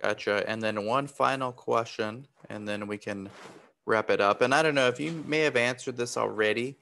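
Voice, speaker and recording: A man's voice: medium pitch, moderate pace, gravelly, pushed and bright. A man from Romania, raised in the US, moderating a webinar in an informal, chatty way with questions and thanks. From born in Romania, raised in the US from age three. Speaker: webinar host.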